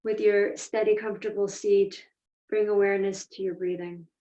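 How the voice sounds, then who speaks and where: A woman teaching a guided meditation, speaking in a measured, deliberate way with pauses between phrases. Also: mid-range voice, little pitch movement, dark tone. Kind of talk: guided meditation, slow instruction. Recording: echoey room, distant mic, dull sound.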